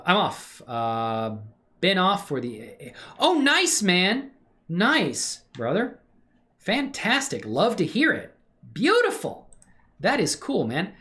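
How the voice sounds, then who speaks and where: A woman's voice: lowish voice, a bit bright. A woman hosting a casual livestream, chatting to viewers in a friendly, exclamatory way. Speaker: live streamer hosting own channel. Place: indoors at a computer.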